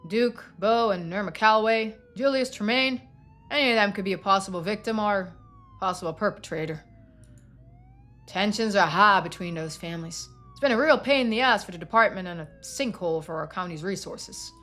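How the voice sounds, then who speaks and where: A man's voice: sits high, brisk, pushed and bright. A woman voicing an exasperated, by-the-book male police deputy in a game, clipped and sarcastic. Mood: stern, exasperated.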